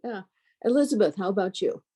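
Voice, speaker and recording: A woman's voice: medium pitch, lively intonation, a little fast, mellow, breathy edge. A woman hosting a panel conversation, introducing guests with brief questions and adding short affirmations while they speak. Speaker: host.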